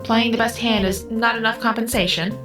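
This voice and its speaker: A woman's voice: fairly high pitch, steady tone, fairly quick, over music, lightly acted. A woman playing a curious, literal-minded otherworldly being in an audio drama, with precise, formal, analytical phrasing. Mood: coolly analytical.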